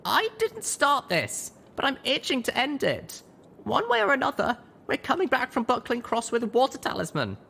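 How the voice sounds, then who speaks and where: A man's voice: very high voice, sing-song, moderate pace, vocal fry, pushed and bright, a little hiss or hum, pitched above the performer's own voice, exaggerated voice. A man voicing a small, stubborn, righteous young mare who leads an adventure in an audio drama. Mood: angry, determined.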